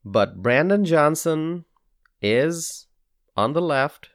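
A man with a Bangladeshi accent hosting a solo political podcast, speaking in a deliberate, emphatic way and repeating phrases for weight. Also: fairly high pitch, animated, dry recording, clean studio sound.

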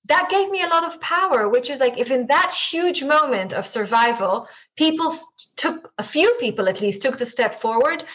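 Woman, Israeli accent, Hebrew native, podcast guest, reflective, halting, somewhat high voice. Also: fairly bright tone, rough recording. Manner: reflective, halting with many restarts. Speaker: guest, leadership coach. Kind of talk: podcast interview conversation.

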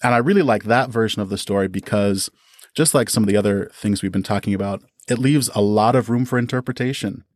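Low-voiced man explaining his craft. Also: fast talker, no echo, studio quality. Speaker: audio drama producer and performer, podcast guest. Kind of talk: conversational interview answers, explaining.

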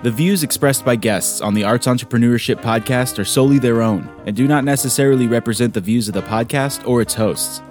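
A man reading a scripted podcast intro and legal disclaimer in a measured announcer style. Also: medium pitch. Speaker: show announcer. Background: soft guitar music.

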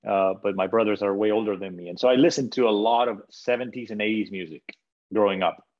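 A man from Spain chats as a podcast guest, stopping and restarting his sentences as he warms to the topic. His voice sits low. The recording is muffled and lo-fi. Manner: halting, many restarts, enthusiastic about music. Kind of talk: casual interview conversation.

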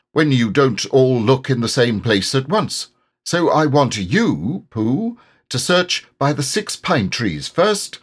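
A man voicing a brisk, self-important rabbit who likes to organise everyone, in a children's storybook. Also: mid-range voice, voice pushed up. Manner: important, brisk, carelessly dismissive.

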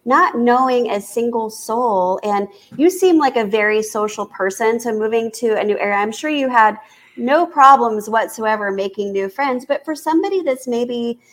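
A woman's voice: on the high side, expressive, moderate pace. A woman from Kansas hosting a podcast, asking questions and responding in an enthusiastic, affirming way. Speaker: podcast host. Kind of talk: casual interview conversation.

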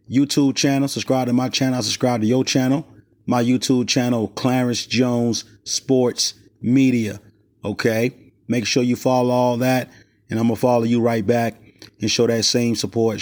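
A man hosting his own sports podcast in a loose, rambling way, voice raspy while he recovers from a stomach virus, recorded on a cell phone outside his studio. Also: mid-range voice, darkish tone. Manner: loose, rambling, many fillers and restarts. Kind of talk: casual sports talk. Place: not in the studio.

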